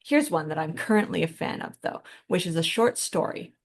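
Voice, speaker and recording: A woman's voice: sits low, animated, normal speed. A woman co-hosting a podcast, talking in a casual, informal and reflective way. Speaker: podcast co-host.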